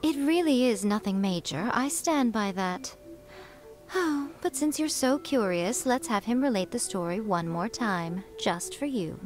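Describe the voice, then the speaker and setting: A poised, teasing shrine priestess from a fantasy game. Her voice sits fairly high, and she speaks with coy, unhurried condescension. Music plays softly underneath. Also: expressive. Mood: coy, amused. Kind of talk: anime video game acting.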